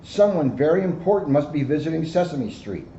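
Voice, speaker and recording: A man's voice: on the high side, very animated, a little fast, dull audio. A man voicing a kindly, reassuring female police officer in a storybook read-aloud. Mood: friendly. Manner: gentle, soothing, encouraging. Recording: roomy recording with background noise.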